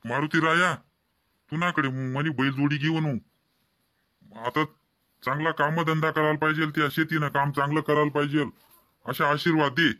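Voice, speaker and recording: High-voiced proud village farmer played by a man. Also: flat delivery, moderate pace, long pauses, pushed and bright. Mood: earnest. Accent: Ahirani. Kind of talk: comedy cartoon dialogue.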